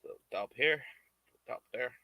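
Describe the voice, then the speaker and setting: A man making puppets on a casual livestream, muttering quietly to himself as he works at his bench. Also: mid-range voice, a little slow, no echo, poor audio. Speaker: puppet maker streaming his work. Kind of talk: casual livestream chat while crafting. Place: home work bench.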